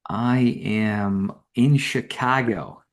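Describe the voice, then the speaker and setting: A man's voice: on the low side, drawn-out words. A middle-aged man, a guest from Romania, talking frankly and easily on a remote call, often chuckling. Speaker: podcast guest, copywriter. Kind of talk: casual conversation.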